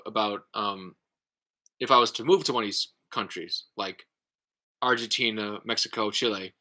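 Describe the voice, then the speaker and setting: A man's voice: medium pitch, sharp tone. A man talking casually in a response vlog, thinking aloud with many fillers and restarts. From United States. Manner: halting, lots of fillers and restarts. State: tired. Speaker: YouTube creator.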